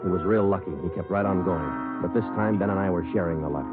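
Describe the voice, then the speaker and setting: A man's voice: low voice, fast, natural voice. An American man playing a terse, dogged police sergeant and narrator in a 1940s radio crime drama, clipped and matter-of-fact. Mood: matter-of-fact narration. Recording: lo-fi 1940s radio, loud music.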